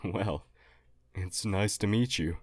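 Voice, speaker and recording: A man plays an earnest, nerdy humanoid alien in an audio roleplay. The voice is deep and unhurried, with polite, halting, formal phrasing. The sound is dry, with little room in it. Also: a little mellow, no echo. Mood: earnest, apologetic. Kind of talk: audio roleplay acting addressed to listener.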